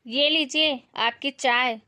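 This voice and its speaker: A woman voicing a resentful, outspoken elder daughter-in-law who complains confrontationally in an animated family story. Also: high voice, slow pace, full character voice. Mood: bitter.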